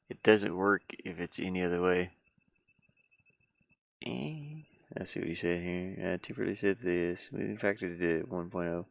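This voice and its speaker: Gravelly, low-voiced man thinking aloud. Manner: halting, muttering to himself, thinking aloud. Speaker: hobby game developer streaming his work. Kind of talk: casual live-stream chat while working.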